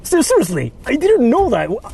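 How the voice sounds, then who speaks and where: A man's voice: very high-pitched, wildly swooping pitch, brisk, creaky, a little mellow, husky. A man in his thirties from Japan, chatting casually and crudely with viewers while driving. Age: 30s. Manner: rambling, profane, joking with viewers. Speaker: vlogger. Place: inside a car on city streets. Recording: road noise in a car.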